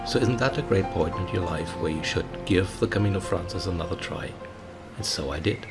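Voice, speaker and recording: A man in his fifties with a German accent, narrating his travel vlog in a chatty way, often pausing with uh and um. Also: low voice. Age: 50s. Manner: chatty, pausing with many uh and um fillers. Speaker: travel vlogger. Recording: voice with music playing underneath.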